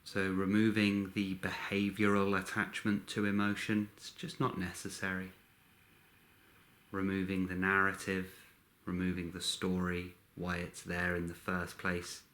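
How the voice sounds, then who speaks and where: A man leading a guided meditation in a measured, instructional way, speaking in short phrases with long pauses. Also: deep voice, completely flat delivery, a bit bright.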